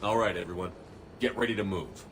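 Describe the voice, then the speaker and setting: A battle-worn male commander in a sci-fi game. His voice sits very low and moves fast, every line clipped and businesslike. A faint hum of ambience runs underneath. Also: a bit bright. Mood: steady. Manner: clipped, matter-of-fact orders. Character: male human soldier, calm hardened commander. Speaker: military commander. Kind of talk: video game cutscene acting.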